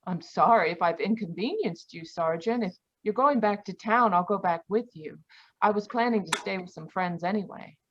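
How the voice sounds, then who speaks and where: An actress plays an indignant young frontier woman in an old radio play. Her mid-pitched voice is affronted, and the video-call sound is dull and lo-fi. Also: lively intonation, normal speed, fairly bright tone. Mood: icy, affronted. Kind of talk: old-time radio drama acting. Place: home, live online video performance.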